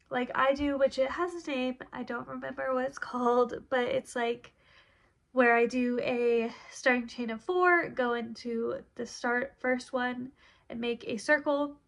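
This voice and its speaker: A young woman with a congested voice, chatting her way through a crochet tutorial at home with lots of likes and ums. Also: high-pitched. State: congested from a recent illness. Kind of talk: casual step-by-step craft tutorial. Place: home, at a table.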